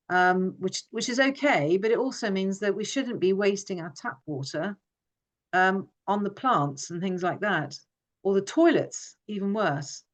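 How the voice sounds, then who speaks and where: Woman raised in Hong Kong, forum speaker, earnest and halting, mid-pitched voice. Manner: earnest, many restarts and fillers. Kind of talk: informal presentation.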